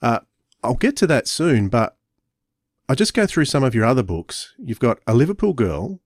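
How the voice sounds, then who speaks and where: A man's voice: mid-range voice, sing-song, moderate pace, no room sound, studio quality. A man hosting a casual podcast interview. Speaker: podcast host.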